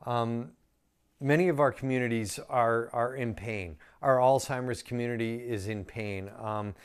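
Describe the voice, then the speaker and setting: A man in his fifties giving an informal thank-you address, conversational and earnest, pausing as he finds his words. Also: mid-range voice, fairly even intonation, clear, resonant tone. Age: 50s. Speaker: gala speaker. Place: indoor room. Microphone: lapel.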